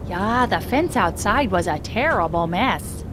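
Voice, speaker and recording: A woman's voice: mid-range voice, expressive, unhurried, noisy background, close to an everyday voice. Woman playing a lilting, folksy Minnesotan innkeeper. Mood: gossipy. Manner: folksy, breezy. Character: chirpy, folksy small-town hotel keeper woman. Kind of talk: animated game voice acting.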